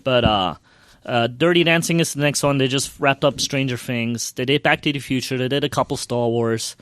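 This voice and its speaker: A man's voice: medium pitch, moderate pace, fairly bright tone, clean tone, no room sound. A man chatting casually as a podcast co-host, enthusiastically recounting an experience in a rambling way, often repeating his words.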